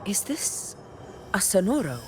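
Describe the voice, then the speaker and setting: A woman voicing a composed, formal warrior leader in a video game, speaking gravely and with measured solemnity. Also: medium pitch, very animated, a little mellow, slight background noise. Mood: measured gratitude.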